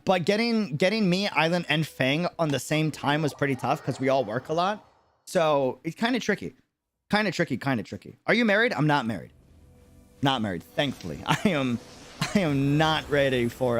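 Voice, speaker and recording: A man streaming in a casual chat with viewers, talking animatedly and repeating phrases for emphasis. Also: medium pitch. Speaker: streamer and content creator.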